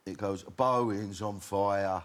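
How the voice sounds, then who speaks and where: A man's voice: fairly low pitch, fairly even intonation, slow pace, noisy background. Blunt, swearing older man. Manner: blunt, jokey, swears freely. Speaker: guest. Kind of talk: casual chat.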